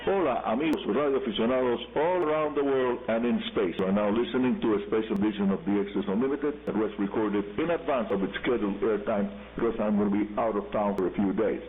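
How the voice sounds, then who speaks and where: A man with a Cuban accent and Spanish as his first language, hosting a scripted radio show and addressing listeners directly and deliberately. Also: on the low side, faint music behind. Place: radio broadcast picked up on shortwave. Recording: hissy, humming narrow-band shortwave radio reception.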